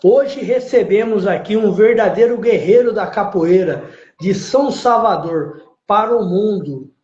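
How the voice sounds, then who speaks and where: A man's voice: high-pitched, measured pace, a little mellow. A man hosting a podcast, introducing guests formally and with emphasis. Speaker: podcast host. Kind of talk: live podcast interview. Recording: roomy, dull lo-fi sound.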